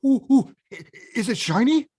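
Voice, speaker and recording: An actor playing a big, frustrated and stubborn video-game bad guy who craves respect, with emphatic, blustering delivery in an animated film table read. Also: medium pitch, normal speed, husky. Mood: eager.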